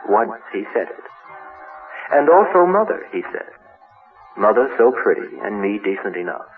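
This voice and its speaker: Male horror host, lowish voice, theatrical reading, thin radio filter with music underneath. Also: sing-song, moderate pace. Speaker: horror story host and reader. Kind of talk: dramatic horror story reading. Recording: tinny old-radio sound, music behind.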